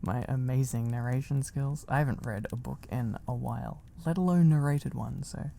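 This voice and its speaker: A man reading a storybook aloud in a gentle, cosy, unhurried ASMR style. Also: mid-range voice, creaky. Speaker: ASMR narrator.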